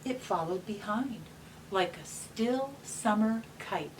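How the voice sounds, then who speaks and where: Slow-paced woman reading to children, distant mic. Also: mid-range voice, expressive. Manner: simple, patient phrasing with checking questions. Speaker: read-aloud host for children. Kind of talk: gentle explanatory talk and picture-book read-aloud for young children. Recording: distant mic with faint background noise.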